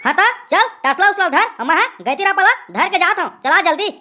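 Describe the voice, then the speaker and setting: A man voicing a grumbling village husband in a cartoon comedy, with a Chhattisgarhi accent and a pitched-up voice. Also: lively intonation, fairly quick, pushed and bright, heavily acted. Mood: exasperated. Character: exasperated village husband coaxing his stubborn wife.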